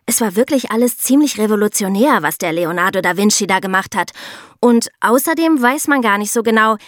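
A woman's voice: mid-range voice, animated, a little fast, dry recording, clean studio sound. A woman co-hosting an educational podcast for children, explaining things conversationally and addressing listeners directly. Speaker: podcast co-host explaining history.

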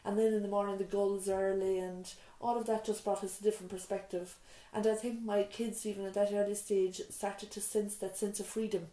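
A woman's voice: medium pitch, little pitch movement, brisk, few pauses. Woman recalling island life, echoey room. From Ireland. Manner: warm storytelling, frequent restarts and "you know". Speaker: island nurse and mother of four, interviewee. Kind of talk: reflective interview answers. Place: island nurse's surgery, small room.

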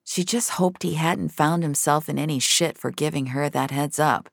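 A woman's voice: deep voice, moderate pace, vocal fry, no room sound, clean studio sound. A woman narrating a scripted fiction story as its storyteller.

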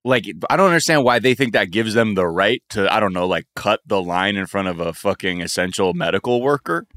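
A man's voice: fairly low pitch, lively intonation, a little fast, a bit bright, no echo, clean studio sound. A man co-hosting a casual podcast, riffing loosely with blunt profanity and exasperation. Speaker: podcast co-host.

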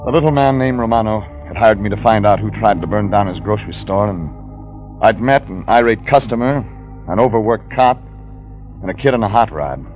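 A man's voice: fairly low pitch, fairly quick, darkish tone, close to an everyday voice. A man playing a terse hard-boiled detective. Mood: hard-boiled narration. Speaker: private investigator and narrator. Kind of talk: 1940s radio acting. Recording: muffled old radio broadcast with music.

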